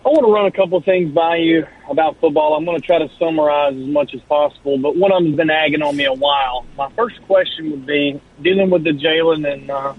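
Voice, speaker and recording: A man's voice: fairly high pitch, a little fast, hardly any pauses. A man calling in to a sports radio show with earnest, organized questions. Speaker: caller. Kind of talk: phone-in question. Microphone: telephone. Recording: tinny phone line.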